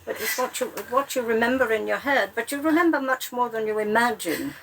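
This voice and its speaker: A woman's voice: on the high side, animated, fairly quick, crowd noise, low-quality recording. A woman hosting a tea party, chatting in an encouraging way with a guest. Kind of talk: casual chat.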